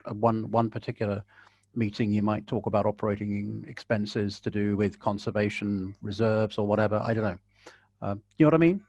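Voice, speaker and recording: A man with a low, soothing voice speaks in a calm, measured way, dry and a little wry. He sounds close and dry on a video call, with no room echo. He is answering questions in a relaxed webinar. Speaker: guest conservationist. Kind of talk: webinar Q&A, giving advice.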